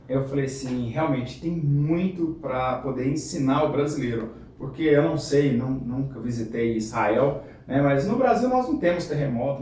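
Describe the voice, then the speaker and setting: A man hosting his channel and interviewing a guest, talking with lots of fillers. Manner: animated, many fillers like "é" and "né". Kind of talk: interview, enthusiastic introduction. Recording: very echoey room from far off, faint rumble.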